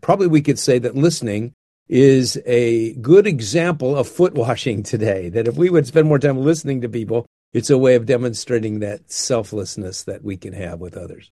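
Older man, mid-pitched, earnest and unhurried, dry close mic; counsel-style speaker. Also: warm tone, no echo. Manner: earnest, unhurried, with frequent restarts. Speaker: guest speaker on marriage. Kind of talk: reflective conversational talk.

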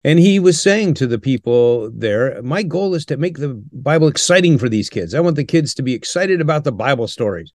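An older man speaking in an earnest, measured way, like someone teaching, and pausing often with "uh". His voice sits at a middle pitch and is recorded dry and close, with no room sound. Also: expressive, darkish tone, no echo. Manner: earnest, measured, with frequent "uh" pauses. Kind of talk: interview answers, teaching tone.

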